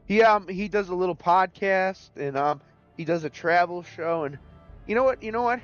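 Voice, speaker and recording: A hoarse man chats loosely as he hosts a gaming stream, rambling a little and signing off with jokey farewells. His voice is starting to crack. The audio is slightly dull, with faint music underneath. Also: sits high, normal speed. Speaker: stream host. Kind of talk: casual gaming stream chat.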